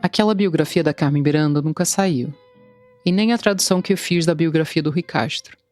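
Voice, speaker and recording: A woman's voice: low voice, fairly quick, a little mellow, faint music behind. A woman in her thirties, a translator, telling her own story in wry, confessional scripted narration. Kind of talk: scripted first-person narration.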